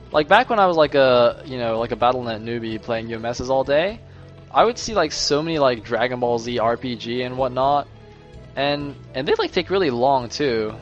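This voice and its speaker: A hoarse young man doing solo play-by-play commentary on a game, chatty and rambling, jumping into excited bursts. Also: mid-range voice. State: losing his voice, a little sick. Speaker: esports caster. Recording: music playing underneath.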